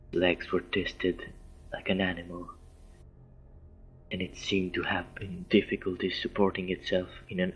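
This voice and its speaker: A man reading a scary story aloud in a deliberate way, occasionally stumbling over words. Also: low-pitched, husky, poor audio. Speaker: story reader. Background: faint background music.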